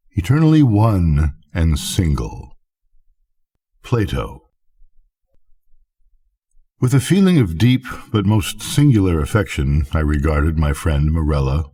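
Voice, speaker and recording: An older man reads a literary tale aloud in a low, husky voice. His tone is dark and mellow, and he phrases each sentence deliberately, pausing as he goes. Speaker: storyteller, reader. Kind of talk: literary bedtime story reading.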